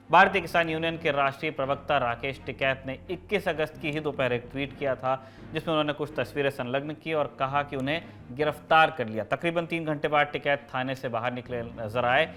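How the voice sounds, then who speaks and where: Male news host, medium pitch, scripted narration. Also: fairly bright tone.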